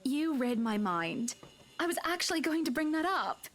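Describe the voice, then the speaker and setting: A woman's voice: high voice, sing-song, moderate pace, fairly bright tone. An actress playing a gracious, teasing wealthy lady of the manor in a visual novel game, with a gushing, hostess-like manner. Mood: gushing, hospitable.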